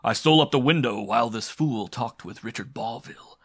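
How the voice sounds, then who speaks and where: A man's voice: medium pitch, brisk, a bit bright, no echo, clean studio sound, close to an everyday voice. A man voicing a brutal, scheming male villain in a throaty, guttural, boastful way for an adventure story. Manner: boastful, menacing.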